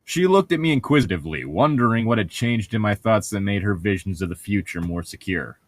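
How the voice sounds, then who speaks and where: A man's voice: on the low side, lively intonation, fairly quick, dry recording. A man co-hosting a read-along, moving between wry, opinionated casual banter and reading a novel aloud, with some swearing. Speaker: co-host of a book read-along show.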